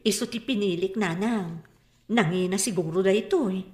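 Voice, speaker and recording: An actress playing an eager, bickering wife out to borrow finery, with a Filipino Ilocano accent, in a radio comedy-drama. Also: female voice, medium pitch, normal speed, darkish tone, roomy sound, natural voice. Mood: pleased.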